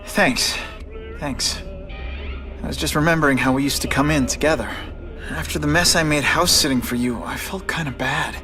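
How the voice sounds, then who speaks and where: A man's voice: on the high side, moderate pace, over music, close to an everyday voice. An actor playing a wisecracking young male superhero in a video game cutscene. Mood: subdued. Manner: quippy, sarcastic.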